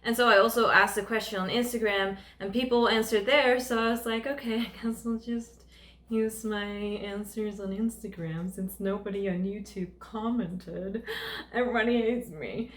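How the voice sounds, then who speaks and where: A woman's voice: medium pitch, fairly even intonation, normal speed, a bit bright. A young Dutch woman with a light Dutch accent, hosting a chatty, giggly Q&A vlog with her partner. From Netherlands. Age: 20s. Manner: giggly, laughs often, chatty. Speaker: vlogger. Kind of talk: casual Q&A chat.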